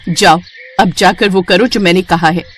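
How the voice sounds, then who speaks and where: A woman's voice: medium pitch, sing-song, takes it slowly, slight background noise. A woman voicing a vain, cruel young princess in a cartoon story, haughty and commanding. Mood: scheming, gloating. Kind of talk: Hindi cartoon moral story acting.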